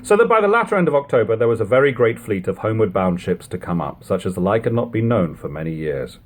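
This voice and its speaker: A man reading aloud as an audiobook narrator, with a measured, expressive literary delivery and a slightly husky voice. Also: fairly low pitch, a little hiss or hum. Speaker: audiobook reader. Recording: lo-fi home recording.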